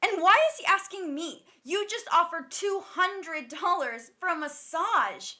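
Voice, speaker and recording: Woman acting female massage therapist, prickly, sardonic, bright forceful tone, naturalistic audio drama. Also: extremely high pitch, expressive, unhurried, full character voice. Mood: irritated, sarcastic. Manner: clipped deflections, sarcastic when riled.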